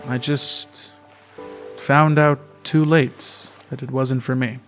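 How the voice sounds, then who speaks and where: A man acts a young taxi driver in an amateur radio play, content and soothing. He talks slowly and haltingly at a medium pitch, with faint music behind him. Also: little pitch movement, fairly bright tone. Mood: easygoing. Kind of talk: amateur radio theatre acting.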